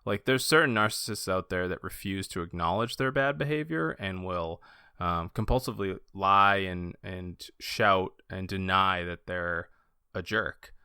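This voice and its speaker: Male podcast host, low-pitched and crisp, enthusiastic fan talking books in a casual register. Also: unhurried, sharp tone, no echo, studio quality. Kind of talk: casual book discussion.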